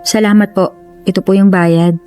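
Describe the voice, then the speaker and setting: A woman's voice: medium pitch, fairly even intonation, normal speed, warm tone, faint music behind, close to an everyday voice. A woman playing an aggrieved, anxious young wife in a radio drama, earnest and emotional. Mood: nervous. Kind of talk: Tagalog radio drama acting.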